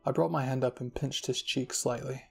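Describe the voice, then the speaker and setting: A man narrating a scripted fan fiction story in a soft ASMR style as a channel host, with music behind him. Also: medium pitch, fairly even intonation, normal speed, gravelly.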